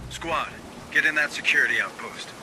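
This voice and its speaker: Man acting a gruff, commanding Marine sergeant. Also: on the high side, moderate pace, noisy background, close to an everyday voice. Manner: terse, commanding. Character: gruff, protective Marine sergeant, seasoned squad leader. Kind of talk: scripted game military dialogue.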